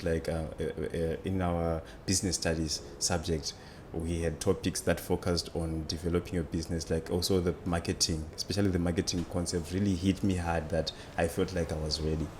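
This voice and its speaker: A man's voice: low-pitched, darkish tone, slight background noise. A man in his twenties with a Zimbabwean accent, telling his story conversationally as an interview guest. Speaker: interview guest, student entrepreneur.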